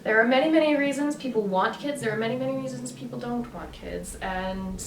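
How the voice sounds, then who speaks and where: A woman's voice: a little high, fairly quick. A woman vlogger talking about her own choices in a casual, emphatic way, with many fillers and rising bursts of emphasis. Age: adult. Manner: animated, emphatic, lots of fillers. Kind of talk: casual opinion monologue. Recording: echoey room, mic at a distance, background noise.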